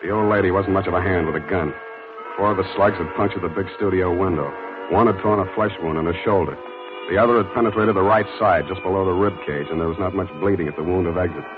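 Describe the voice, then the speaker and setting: A male American actor playing a wry, world-weary private detective in a 1940s radio drama, with a clipped deadpan delivery. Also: low-pitched, close to an everyday voice. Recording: muffled 1940s radio broadcast with music.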